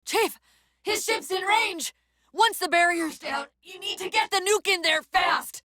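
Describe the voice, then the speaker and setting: A woman voicing a video game's female AI companion, an urgent, wry military guide with crisp, technical, commanding delivery. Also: very high-pitched, unhurried, sharp tone, no echo, studio quality, heavily acted. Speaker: video game voice actor. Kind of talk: video game dialogue, tactical callouts.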